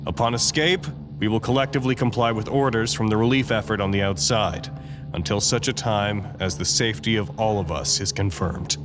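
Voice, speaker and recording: An actor playing a grave, weary facility leader in an audio drama, speaking formally and deliberately. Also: male voice, lowish voice, steady tone, big-room sound, over music, natural voice. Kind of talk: scripted audio drama acting.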